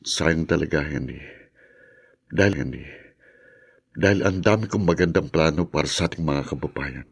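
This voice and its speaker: Deep-voiced, wronged melancholy man. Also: normal speed, gravelly, pauses often, breathy edge, exaggerated voice. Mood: rueful, wounded. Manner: rueful, earnest. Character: wronged, melancholy man, former campaigner, lamenting injustice. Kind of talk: radio drama acting.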